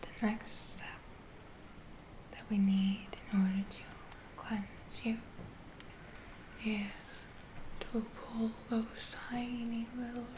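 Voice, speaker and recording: A woman doing a whispered ASMR roleplay as a gentle witch healer, soothing and reassuring in an unhurried manner. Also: a little high, steady tone, noisy background. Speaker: ASMR performer.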